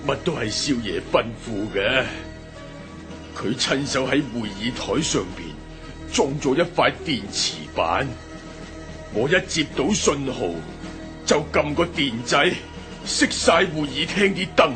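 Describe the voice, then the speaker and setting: A man's voice: mid-range voice, animated, unhurried, music in the background, natural voice. A man playing a stammering frightened servant. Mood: fearful. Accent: Hong Kong Cantonese. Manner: stammering, timid. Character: frightened male servant caught in a scheme. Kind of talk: radio drama acting.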